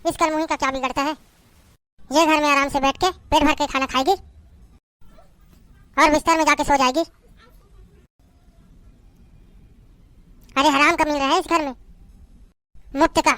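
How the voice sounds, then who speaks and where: A bitter, scolding mother-in-law, voiced by a woman in a domestic drama. She hurls insults and complaints in a high-pitched voice. The recording is dry, with no room echo. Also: steady tone, brisk, pauses often, fairly bright tone. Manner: taunting, berating, insulting. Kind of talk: family drama acting.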